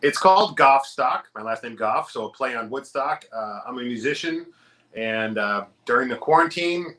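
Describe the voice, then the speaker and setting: Upbeat male guest, bright and lively, laughs a lot. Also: medium pitch, normal speed. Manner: upbeat, self-deprecating, laughs easily. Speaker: guest musician and comedian. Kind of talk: casual interview chat.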